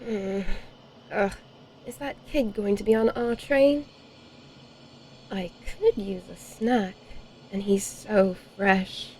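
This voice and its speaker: A woman's voice: medium pitch, slow pace, long pauses, mellow, a little hiss or hum, rough recording. A woman playing a bloodthirsty, grumbling female vampire in an audio horror-comedy, speaking dryly and theatrically in old-fashioned phrases. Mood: wry, grumbling.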